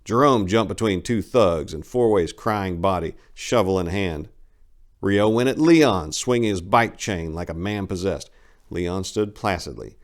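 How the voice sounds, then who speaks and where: A man giving a theatrical, emphatic dramatic reading of a short story as narrator. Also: sits low.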